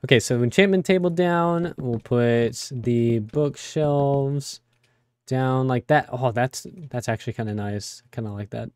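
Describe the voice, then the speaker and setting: A young man with a raspy voice from a cold, rambling casually with many fillers as he plays a game. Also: medium pitch, a little slow, a little mellow, no room sound, studio quality. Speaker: let's play host. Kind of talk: casual gameplay commentary.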